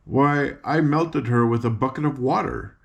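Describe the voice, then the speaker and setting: A man's voice: medium pitch, measured pace, creaky, highs cut off, pitched above the performer's own voice. An older man voicing a cheerful young farm girl who patiently explains things as she guides her friends. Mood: chatty.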